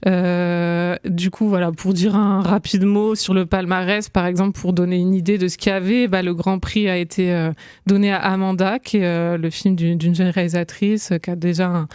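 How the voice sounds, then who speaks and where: A young woman talking casually as a guest critic in a radio studio, hesitant, with many fillers and quick laughs. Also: fairly low pitch, flat delivery, a little mellow, smooth, no echo. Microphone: studio microphone.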